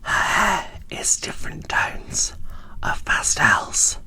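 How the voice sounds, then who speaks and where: A woman whispering in a breathy, barely voiced ASMR style, presenting dolls with gentle, deliberate phrasing into a close microphone. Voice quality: breathy whisper with little voicing. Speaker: doll collector presenting an unboxing.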